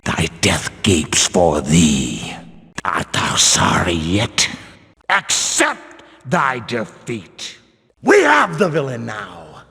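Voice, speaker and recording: A zealous, pious male temple guard for a fantasy video game. He declaims in archaic, righteous phrases with big swings of pitch. The delivery is slow and weighty, drenched in long hall reverb. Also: huge pitch swings, bright, forceful tone, full character voice. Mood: battle fury. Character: stern, zealous male religious warrior guard of a builder cult.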